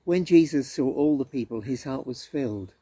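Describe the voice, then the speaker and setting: A man reads a children's story aloud with gentle expression. His voice is soft-edged and mellow, sitting in a middle register, with a husky grain to it. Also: mid-range voice, animated, normal speed, no room sound. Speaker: story reader at a church.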